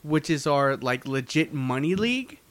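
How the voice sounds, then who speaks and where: A man's voice: a little high, big pitch swings, moderate pace. A young man co-hosting a podcast, joking and teasing his friends in a casual chat. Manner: joking, teasing, laughs a lot. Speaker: podcast co-host.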